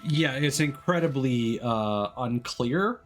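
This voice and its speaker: A man's voice: mid-range voice, lively intonation, slow. A man streaming a video game, moving between casual commentary and reading story narration aloud.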